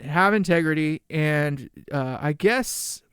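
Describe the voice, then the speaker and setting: A man with a high-pitched voice, ranting bluntly in a vlog with casual swearing. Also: slow, fairly bright tone, smooth, no room sound, studio quality. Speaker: gear channel vlogger. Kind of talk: opinion monologue vlog.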